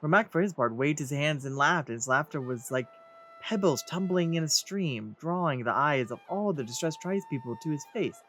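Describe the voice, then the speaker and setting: A man's voice: fairly high pitch, animated, normal speed, sharp tone, faint music behind. A man hosting a let's play, reading game text aloud theatrically and commenting as he goes.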